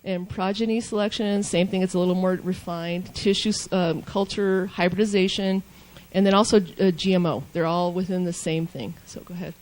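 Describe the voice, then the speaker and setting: A woman who farms organically and studies for a doctorate, giving a conference talk over slides, quick and informal with many ums and restarts. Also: on the low side, fairly even intonation, darkish tone, rough recording. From New Mexico. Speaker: panel speaker, organic farmer and doctoral student. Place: conference hall. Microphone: handheld mic.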